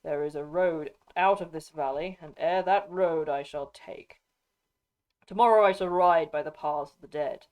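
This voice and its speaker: A woman's voice: deep voice, moderate pace, a little mellow, close to an everyday voice. A woman narrator takes on a grown lord and ranger from a fantasy storybook. The delivery is stern and resolute, solemn in its old-fashioned, formal wording. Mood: firm, resolute. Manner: solemn, firm, archaic formal phrasing. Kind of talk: dramatic audiobook character reading.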